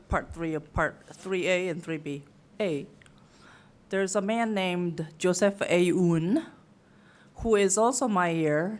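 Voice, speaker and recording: A woman in her sixties whose first language is Korean, asking a long, rambling, chatty question from the audience of a lecture. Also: sits low. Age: 60s. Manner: rambling, chatty, laughs at herself.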